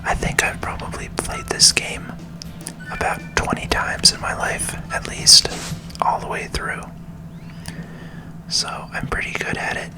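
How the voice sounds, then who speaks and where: A person whispering calm ASMR commentary over a video game, close to the microphone. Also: over music. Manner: slow, calming.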